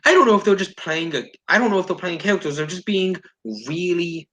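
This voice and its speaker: A man giving blunt, sarcastic, exasperated commentary as a co-host, casual and quick to swear. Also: high voice, sharp tone. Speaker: co-host reacting to articles. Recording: faint background noise.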